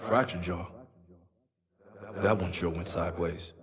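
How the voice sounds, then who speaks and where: A man's voice: sits low, steady tone, unhurried, fairly bright tone. A man playing a cynical, world-weary Vietnam veteran soldier in a video game, wry and blunt. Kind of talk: cinematic game dialogue.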